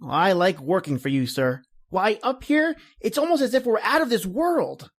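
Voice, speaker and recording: A man playing an earnest, deferential young assistant in an old-time radio drama, with a husky voice. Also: high voice, lively intonation, normal speed. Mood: eager, deferential.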